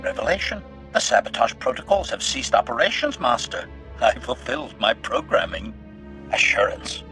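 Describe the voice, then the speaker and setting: An actor voicing a cold, bloodthirsty but loyal assassin droid in a video game, speaking formally and deadpan through a robotic filter. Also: male voice, lowish voice. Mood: coldly pleased. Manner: formal, clipped, announces each statement with a label. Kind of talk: scripted video game acting. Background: background music.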